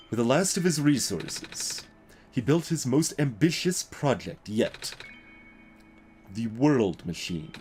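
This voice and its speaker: A man's voice: medium pitch, darkish tone, slight background noise. A man voicing an earnest, hesitant young male video game character who speaks in careful, formal words. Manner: halting, careful, formal wording. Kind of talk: voiced reading of video game dialogue.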